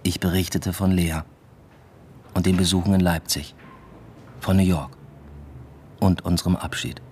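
A man's voice: sits low, level tone, a little slow, a little mellow, a little hiss or hum. An actor playing an introspective academic who narrates his own story in a subdued, reflective way in a radio drama. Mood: measured, wry. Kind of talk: literary first-person radio drama narration.

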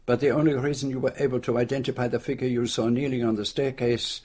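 A man's voice: medium pitch, level tone, fast, a little mellow, husky, lightly acted. An actor playing a shrewd, self-assured male detective with a French accent, speaking precisely and formally in a radio drama. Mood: reassuring.

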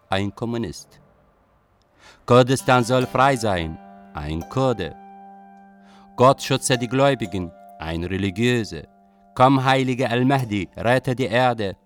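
An actor playing a thoughtful young male refugee writer, narrating a radio drama with wry, deliberate melancholy. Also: on the low side. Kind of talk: literary first-person radio drama narration. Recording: music bed behind voice.

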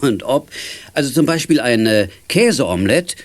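Jovial father and radio buff played by a man, lively intonation, teasing, children's radio-play style. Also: mid-range voice, normal speed, close to an everyday voice.

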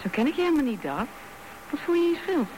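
A woman's voice: high-pitched, sing-song, fast talker, darkish tone, rough recording. An actress playing a candid, blunt wife in her thirties in a radio drama. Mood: indignant, challenging. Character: younger wife of thirty-five, candid and indignant. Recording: background noise on radio drama recording.

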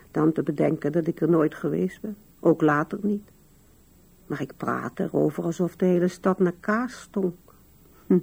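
Gravelly, musing old widow. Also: female voice, low-pitched, warm tone, heavily acted. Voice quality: gravelly, husky. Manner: hesitant musing with sighs and murmurs. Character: musing old widow Anna, wistful, fond, wryly amused. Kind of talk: 1970s Dutch-language radio play acting.

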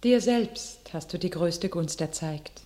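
An actress playing a saintly young warrior maiden in a classical verse radio drama, fervent, pleading and declamatory. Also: female voice, fairly low pitch, slow, dark tone. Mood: gentle, pleading. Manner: declamatory, exalted.

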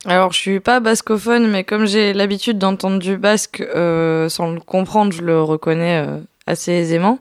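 A woman co-hosting a casual podcast about a film, speaking in a thoughtful, measured way with some fillers. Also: mid-range voice, fairly even intonation, clear, resonant tone, dry recording, clean studio sound. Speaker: podcast co-host.

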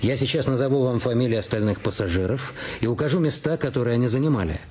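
A man in a radio play questioning a witness in a calm, methodical manner. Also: mid-range voice, fast, breathy edge. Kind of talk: radio drama, questioning as an inspector and narrating. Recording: studio radio play with music underneath.

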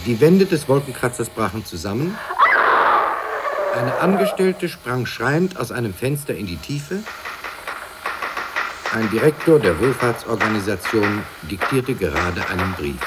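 An actor playing a grave, formal news reporter reading dramatic disaster reports in a 1950s radio drama, with a husky voice. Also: male voice, medium pitch, animated, a little slow, heavy background noise, lightly acted.

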